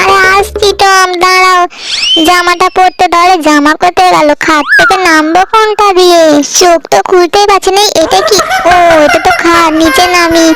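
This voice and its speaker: A tiny cartoon mouse with an extremely high, childlike squeak. It chatters cheekily and playfully, always sounding like a little schemer. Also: heavy background noise. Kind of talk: cartoon dub.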